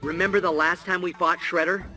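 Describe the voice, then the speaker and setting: A man's voice: high-pitched, fairly even intonation, brisk, close to an everyday voice. A man voicing an earnest, responsible young male mutant turtle leader in a game cutscene, serious and reproachful. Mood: insistent. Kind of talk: animated game cutscene acting. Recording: loud music behind the voice.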